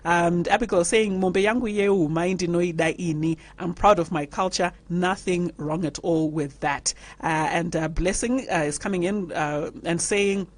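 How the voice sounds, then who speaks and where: A woman's voice: on the low side, steady tone, moderate pace, hardly any pauses. A woman hosting a radio panel discussion, reading out listener messages and laughing often. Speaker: radio talk show host.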